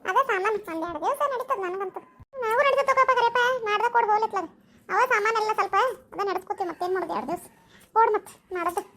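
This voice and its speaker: A woman's voice: very high voice, racing, sharp tone, full character voice. A woman voicing a bossy, chatty village mother in an amateur cartoon, nagging and homely, with a North Karnataka accent. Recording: faintly noisy home recording.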